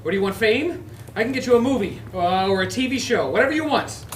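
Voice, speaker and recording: Guy acting out a cornered wounded man, high bright voice, cursing and begging in a comedy script, echoey room. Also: expressive, fast talker, recorded from a distance. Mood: bargaining. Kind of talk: comedy script table read. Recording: roomy echo, off-mic, faint noise.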